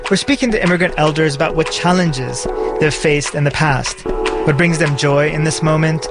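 A man's voice: on the high side, normal speed. A man hosting a podcast, reading a scripted, personal narration. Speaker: podcast host. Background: music.

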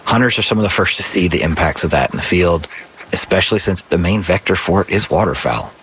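A man explaining conservation funding in a steady, informative interview. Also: low voice, fast, a little hiss or hum. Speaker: conservation project manager, interviewee.

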